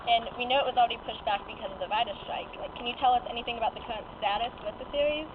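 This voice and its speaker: A woman's voice: on the high side, very fast. A young woman asking casual interview questions in an informal, hesitant way over a phone line. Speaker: fan-site interviewer. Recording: tinny noisy phone line.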